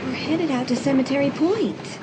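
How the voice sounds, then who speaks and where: Woman playing a high-voiced, wary teenage girl. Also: moderate pace, natural voice. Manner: questioning, anxious. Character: nervous, wary seventeen-year-old girl. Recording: loud vehicle noise behind.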